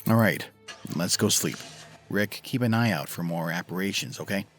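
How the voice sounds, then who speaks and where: A fairly low-voiced, cautious investigator acted by a man, fussy with his companions. It is audio drama, with faint music behind. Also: moderate pace, fairly bright tone. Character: fussy, cautious older-than-his-friend male investigator.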